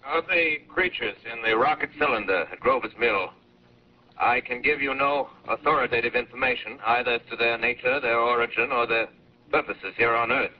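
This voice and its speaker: An actor playing a learned, grave professor in a 1930s radio drama, speaking in a deliberate, scholarly way. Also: male voice, mid-range voice, close to an everyday voice. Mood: grave, thoughtful. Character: learned male astronomy professor, grave, measured. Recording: distant, muffled, hissy 1930s radio.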